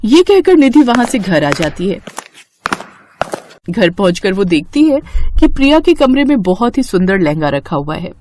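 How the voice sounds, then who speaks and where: A woman's voice: medium pitch, big pitch swings, normal speed, darkish tone, slight background noise. A woman reading scripted narration as the storyteller of a moral tale.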